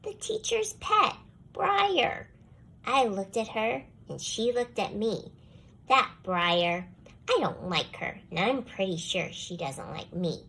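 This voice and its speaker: A woman voicing a young schoolgirl telling a first-person storybook tale with expressive, put-upon delivery. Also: mid-range voice, slow pace, vocal fry, slightly rough, exaggerated voice, low-quality recording. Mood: resentful. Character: jealous young schoolgirl, grudgingly softening toward the dog. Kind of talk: scripted children's storybook read-aloud.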